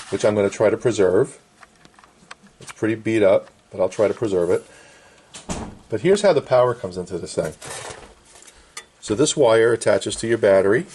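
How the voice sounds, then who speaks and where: A man's voice: lowish voice, a little mellow, breathy edge. A middle-aged man casually explaining a hands-on repair at an indoor workbench in short, plain phrases. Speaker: vintage radio restorer and presenter.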